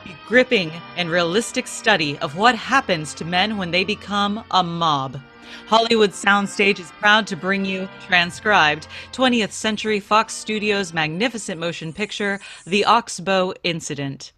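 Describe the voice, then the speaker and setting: A woman's voice: mid-range voice, measured pace, bright, forceful tone, faint music behind. A woman playing a formal, grand announcer on a 1950s radio drama show.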